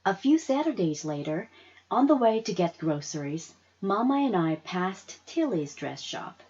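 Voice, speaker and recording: A woman reading a children's story aloud as an expressive narrator. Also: mid-range voice, measured pace, breathy edge, highs cut off. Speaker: storybook reader.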